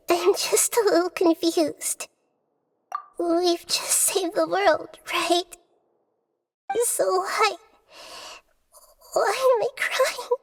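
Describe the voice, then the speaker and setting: A woman voicing a small, gentle young girl deity in a video game, earnest, hesitant and vulnerable. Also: very high voice, full character voice. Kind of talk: English video game voice acting.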